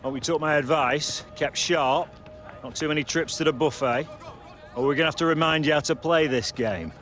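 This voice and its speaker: An actor playing a stern, demanding football manager in a video game cutscene, commanding and dryly needling. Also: male voice, on the high side, moderate pace, fairly bright tone, faint music behind, close to an everyday voice. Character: stern demanding male football manager addressing his squad.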